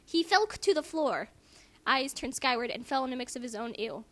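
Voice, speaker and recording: Really high voiced girl doing a dramatic reading at home, over the top emphasis, squeamish side comments. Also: animated, brisk, a bit bright. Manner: theatrically emphatic, breaking off for squeamish asides. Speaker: co-reader and commentator. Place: at home in a house.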